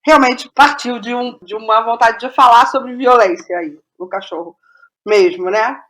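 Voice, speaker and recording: A Brazilian woman in a casual interview as a guest playwright, speaking haltingly and repeating phrases as she thinks aloud. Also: on the high side, animated, a bit bright.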